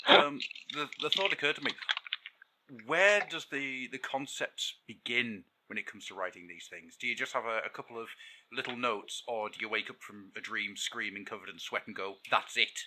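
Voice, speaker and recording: A man co-hosting a casual podcast, talking in an animated, rambling way with plenty of fillers and restarts. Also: mid-range voice, normal speed, slight background noise. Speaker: podcast co-host.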